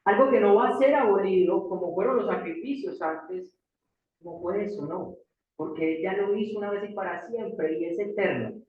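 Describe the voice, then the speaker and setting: Paisa colombian pastor man preaching, high voice, repeats stuff for emphasis, thin phone-ish sound bouncing round a big room. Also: normal speed, very echoey, distant mic, phone-quality sound. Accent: Colombian Paisa Spanish. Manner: emphatic, repeats key phrases, asks the audience rhetorical questions. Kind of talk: sermon. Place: church hall.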